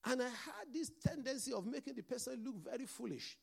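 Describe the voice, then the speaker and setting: A man's voice: extremely high pitch, a little fast, vocal fry, husky. A man with a mixed Nigerian and Scottish accent, preaching a sermon as pastor and calling out to the congregation for responses.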